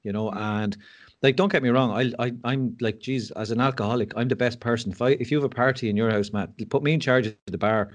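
A man's voice: mid-range voice, fast. An Irish man speaking as a podcast guest, telling his story candidly with frank, self-deprecating humour and lots of fillers and restarts. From Ireland.

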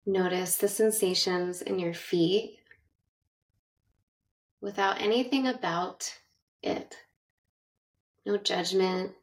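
A woman guiding a meditation with gentle, measured instructions and long pauses, speaking in narration style. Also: fairly low pitch. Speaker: meditation guide. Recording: roomy echo, distant from mic.

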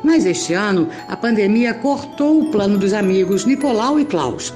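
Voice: mid-range voice, lively intonation, moderate pace, a little mellow. A Brazilian narrator with a mid-range voice tells a scripted story in chatty, colloquial phrasing. Faint music plays behind.

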